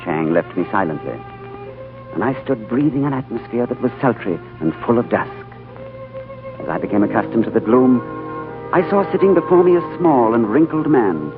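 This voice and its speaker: A man narrating and acting the lead in a 1930s radio drama, with an urbane, wry and measured manner. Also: medium pitch, expressive. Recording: tinny old radio broadcast with music.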